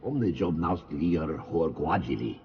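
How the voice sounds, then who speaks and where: An actor playing a grave alien leader in a game cutscene, speaking slowly and solemnly in an alien tongue. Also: male voice, a bass voice, animated, lo-fi sound, slight background noise. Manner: slow, deliberate, solemn. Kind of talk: video game cutscene acting. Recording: long reverb, music behind.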